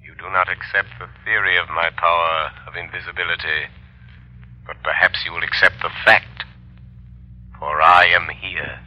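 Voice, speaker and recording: An actor playing an invisible, mysterious crime-fighter, a man who speaks with imperious, menacing calm in a vintage radio drama. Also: deep voice, normal speed. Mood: commanding. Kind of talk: old-time radio drama acting. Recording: dull vintage radio recording.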